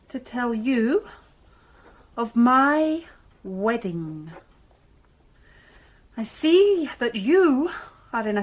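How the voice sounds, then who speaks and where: Very slow, formal Victorian woman. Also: on the high side, exaggerated voice. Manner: formal, deliberate. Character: formal, measured Victorian letter-writing woman. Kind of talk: radio drama letter reading.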